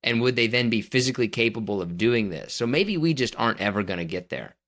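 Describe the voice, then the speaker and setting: A man's voice: mid-range voice, expressive, quick pace, fairly bright tone. A man co-hosting a podcast, chatting casually and giving blunt, emphatic opinions. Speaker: podcast co-host. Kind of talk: casual chat.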